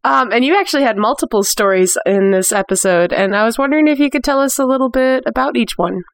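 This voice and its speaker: A woman's voice: a little high, fast talker, dry recording, clean studio sound. A woman hosting a podcast interview in a casual, informal, giggly chat style.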